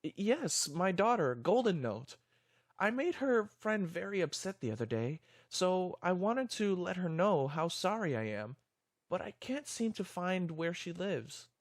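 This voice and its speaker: A performer voicing an apologetic, flustered father pony in an animated fan drama, stammering and hesitant. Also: mid-range voice, measured pace, no echo, studio quality. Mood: nervous, apologetic.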